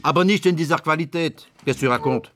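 A man's voice: fairly high pitch, fast talker, fairly bright tone, lightly acted. An actor playing a wily, teasing cheese trader with a French accent, haggling and switching languages in a radio drama. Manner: haggling, slipping between German and French. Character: wily, animated male goat-cheese trader, indignant and teasing.

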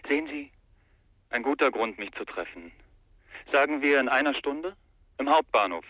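An actor playing an insinuating, menacing stranger on the telephone in a radio crime drama, cool and controlled. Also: male voice, medium pitch, lively intonation, normal speed, bright, forceful tone, no echo. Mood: coolly menacing. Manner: cool, controlled, veiled threat. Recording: thin, band-limited telephone-like sound.

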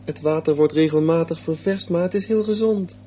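A man's voice: a little high, brisk, mellow, dull audio, slight background noise, close to an everyday voice. An actor in a Dutch radio drama playing an earnest, dreamy and insistent sixteen-year-old boy. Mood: dreamy, absorbed.

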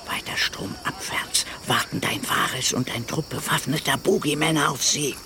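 A man playing a sly, resentful informer in a German radio drama, insinuating and bitter, with a creaky voice. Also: a little high, moderate pace, noisy background. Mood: conspiratorial, wheedling. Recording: music behind the voice.

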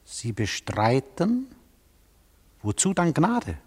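A man's voice: fairly high pitch, sing-song, takes it slowly, a little mellow, exaggerated voice. An actor playing a weary, appalled male interrogator in a German radio play, putting terse, sceptical questions. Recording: long reverberant hall sound.

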